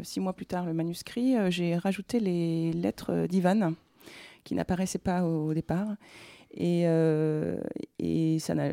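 A woman being interviewed as a novelist, telling their story conversationally in a hesitant, halting way. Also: fairly low pitch, warm tone, no echo. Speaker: novelist interviewed about their first book.

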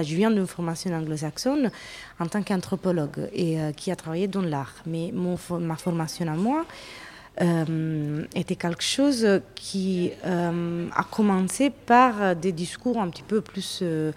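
A woman from Italy with an Italian accent, explaining in a panel discussion in a halting way, searching for words. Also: on the low side, darkish tone. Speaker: anthropologist, panel guest.

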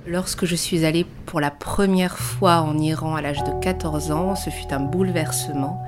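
A woman in her early thirties giving a reflective personal account, pausing often as she searches for her words. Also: deep voice, steady tone, music in the background. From France. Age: early 30s. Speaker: dancer and choreographic artist. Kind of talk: reflective personal interview narration.

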